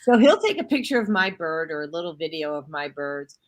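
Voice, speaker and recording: A woman's voice: sits low, sing-song, fairly quick. Chatty woman hosting a radio talk. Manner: chatty, rambling with restarts. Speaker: host. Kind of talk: casual radio chat.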